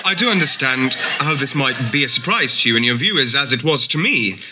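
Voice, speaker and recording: An actor playing an earnest, composed man who claims to be a messiah in a radio play, speaking in a measured, formal way. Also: mid-range voice, lively intonation, background noise, lightly acted. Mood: calm, solemn. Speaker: talk show guest. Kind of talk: radio play acting as an interviewee.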